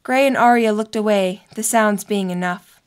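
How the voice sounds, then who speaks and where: A woman's voice: mid-range voice, moderate pace, clean tone. A woman narrating a scripted story for an audio drama.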